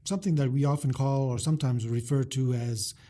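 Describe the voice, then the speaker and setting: Mellow-voiced man hosting a faith podcast. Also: mid-range voice, steady tone. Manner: unhurried, with frequent filler sounds and listening murmurs. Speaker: podcast host. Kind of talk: relaxed podcast interview.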